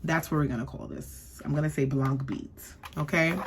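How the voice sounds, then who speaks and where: A woman's voice: deep voice, fairly even intonation, normal speed, background noise. Sassy chatty woman reviewing perfume. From US East Coast. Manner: sassy, chatty, lots of "okay?". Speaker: beauty vlogger.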